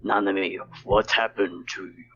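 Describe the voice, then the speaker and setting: An earnest young man from a visual novel, acted out by an amateur reader, calls to his friend. The tone is bright and forceful. The recording is dull and lo-fi. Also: low-pitched, fairly even intonation, moderate pace, breathy edge. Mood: concerned.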